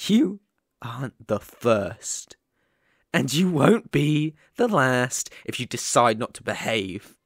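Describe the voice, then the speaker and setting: A man playing a sadistic, obsessive young kidnapper in an ASMR roleplay, gloating with menacing, mocking, drawn-out phrasing. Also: medium pitch, sing-song, a bit bright, no room sound, clean studio sound, exaggerated voice.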